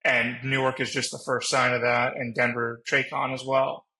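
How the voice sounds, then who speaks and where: A man's voice: medium pitch, level tone, moderate pace, bright, forceful tone, low-quality recording. A man who flies as a pilot, chatting casually as a panelist on a podcast.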